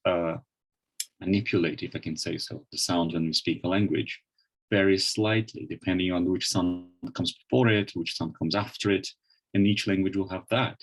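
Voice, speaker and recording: A man's voice: fairly low pitch, poor audio. A Brazilian man with a Brazilian Portuguese accent, giving an online lecture and often restarting his sentences as he explains. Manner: thoughtful, many restarts and fillers. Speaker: webinar presenter.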